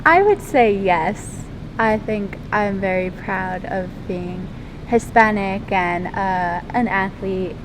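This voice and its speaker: A teenage girl answering interview questions at a middle pitch, stopping often to think with ums and likes. A loud, steady rumble runs under her voice throughout. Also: slow pace. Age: teens. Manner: hesitant, many ums and likes. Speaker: interviewee, lifeguard and swimmer. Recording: loud rumbling background noise.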